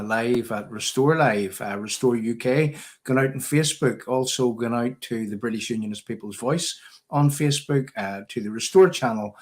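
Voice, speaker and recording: A man with a Northern Irish accent hosting a political livestream in a casual, conversational way, often hesitating. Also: mid-range voice.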